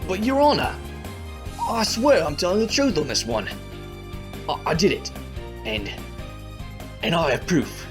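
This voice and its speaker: Nervous, stammering male defendant played by a man, swooping pitch. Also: lively intonation, a little slow, long pauses, over music. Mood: desperate. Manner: stammering, hesitant. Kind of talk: fan audio drama acting.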